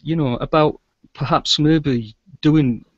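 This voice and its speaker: A man's voice: on the high side, slow, no room sound. Middle-aged man, muffled video-call sound. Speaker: guest, audio drama producer and sound engineer. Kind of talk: casual interview talk. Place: small indoor room with shelves, video call.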